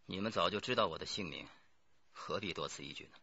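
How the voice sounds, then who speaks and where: Cornered crime suspect, low, tense voice. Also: male voice, fast, vocal fry, pushed and bright, breathy edge, dry recording, dull audio, heavily acted. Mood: cool defiance. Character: defiant, cornered male murder plotter under interrogation. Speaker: radio drama actor. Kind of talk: radio drama acting.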